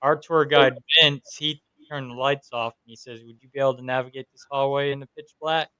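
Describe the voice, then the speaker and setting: A man's voice: mid-range voice, steady tone, fairly quick, bright, forceful tone, clean tone, lo-fi sound. A man from New York hosting a podcast, telling stories from investigations in a casual interview chat. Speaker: podcast host.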